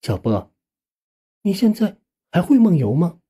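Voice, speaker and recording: A narrator voicing a caring, worried middle-aged aunt in an audiobook, gently coaxing. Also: mid-range voice, sing-song, measured pace, husky, exaggerated voice. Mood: tender.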